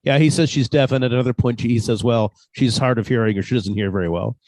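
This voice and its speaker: A man in a relaxed group discussion among cast members, speaking hesitantly as he thinks things through. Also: medium pitch, quick pace, no room sound. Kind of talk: casual group conversation.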